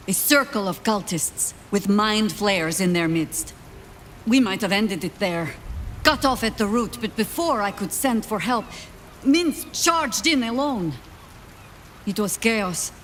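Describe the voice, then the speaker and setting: A seasoned older warrior woman, speaks in a low-set, measured way with dry, sardonic wit. She is pragmatic and world-weary, with faint noise behind her fantasy game dialogue. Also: fairly bright tone. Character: wry, world-weary old female warrior, pragmatic leader.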